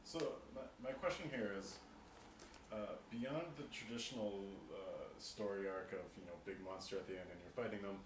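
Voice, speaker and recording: A man's voice: fairly low pitch, normal speed. A man hosting a podcast, asking questions in casual conversation. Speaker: podcast host. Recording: echoey room, distant mic, faint noise.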